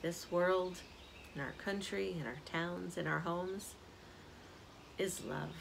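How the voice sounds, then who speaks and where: An older woman, a community minister, giving a gentle spiritual reflection on an outdoor porch, filmed by a camera close up. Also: on the low side, steady tone, vocal fry, background noise. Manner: slow, soft-spoken, reflective. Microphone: camera at close range. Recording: outdoor ambience audible behind.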